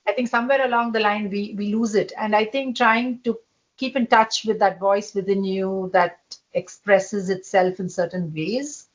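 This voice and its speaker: A woman with a loud voice, talking as a podcast guest in an emphatic way and laughing often. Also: medium pitch, fairly even intonation, moderate pace, dull audio. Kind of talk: casual conversation.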